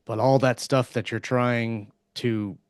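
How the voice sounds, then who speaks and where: A man's voice: medium pitch, fairly even intonation, takes it slowly, clear, resonant tone, no echo, clean studio sound. A man talking casually as an author and co-host on a podcast panel.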